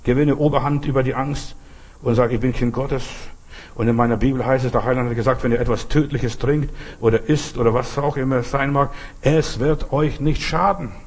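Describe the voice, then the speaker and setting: A man's voice: mid-range voice, steady tone, a little fast, darkish tone. A man preaching freely in a folksy, exhorting manner, speaking straight to the listener in short, repeated appeals. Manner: exhorting, repetitive, folksy, often addressing the listener directly. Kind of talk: sermon, spoken freely with short imperatives and anecdotes.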